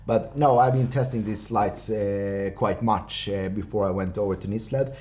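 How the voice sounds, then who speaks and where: A man. His voice is on the low side, with a soft, mellow tone. He speaks off the cuff, hesitating and searching for his words. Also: steady tone. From Finland. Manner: halting, hesitant, unscripted delivery. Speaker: presenter of a motorbike gear review. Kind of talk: casual product review to camera. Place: indoor workshop studio.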